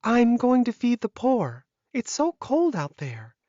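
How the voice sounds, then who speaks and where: Woman playing a very animated, mischievous little girl. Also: fairly high pitch, slow pace, dry recording, lo-fi sound, heavily acted. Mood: earnest. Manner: earnest, giggly, proud. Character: mischievous, idealistic little peasant girl with magic. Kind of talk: audiobook character dialogue.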